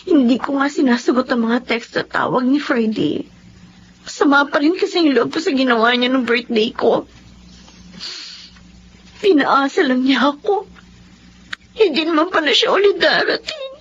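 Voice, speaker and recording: An actress in a Filipino radio drama playing a young, soft-hearted girlfriend hurt by a neglectful man. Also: sits high, lively intonation, normal speed, pauses often, muffled recording, slight background noise.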